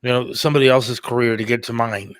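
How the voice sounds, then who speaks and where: A man hosting a talk show in casual conversation, with hesitant, halting phrasing. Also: medium pitch, fairly even intonation, no echo. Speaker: talk show host, radio producer.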